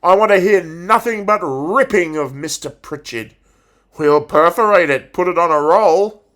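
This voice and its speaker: A man playing a passionate, theatrical English teacher in a dramatic monologue, declaiming deliberately with pauses. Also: high-pitched, animated, fairly bright tone. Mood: fiery, urging. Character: passionate, theatrical male English teacher rousing his pupils.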